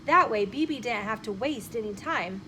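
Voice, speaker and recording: A woman storyteller reading a children's chapter book aloud in an expressive, emphatic way. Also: fairly high pitch, normal speed. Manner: animated, emphatic phrasing with acted dialogue. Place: inside a bookshop. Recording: noticeable room noise behind the voice.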